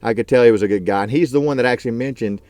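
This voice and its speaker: A man's voice: medium pitch, very fast, a little mellow, no echo. A man in his thirties talking casually to his vlog from his truck, earnest and rambling with lots of fillers. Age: 30s. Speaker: truck driver and YouTuber.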